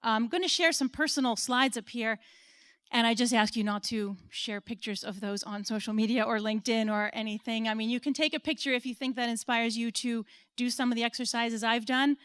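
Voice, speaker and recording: An American woman in her forties speaks to a room of listeners, earnest and personal, with a light laugh at her own expense now and then. Her voice sits a little high, and the sound is close and dry, with no room echo. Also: fairly even intonation, fairly quick, a bit bright, clear, resonant tone. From United States. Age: 40s. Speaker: conference speaker. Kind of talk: conference talk. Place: stage at an event.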